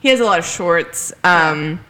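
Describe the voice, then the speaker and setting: A woman's voice: fairly low pitch, lively intonation, unhurried, pushed and bright. An American woman co-hosting a film podcast in casual chat, jokey and slangy, swearing freely. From United States.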